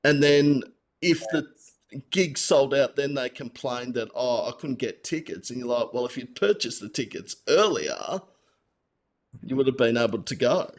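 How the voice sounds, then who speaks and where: A man's voice: mid-range voice, expressive, moderate pace. A man from a metal band chatting on a podcast, talking conversationally through everyday examples. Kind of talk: casual podcast chat.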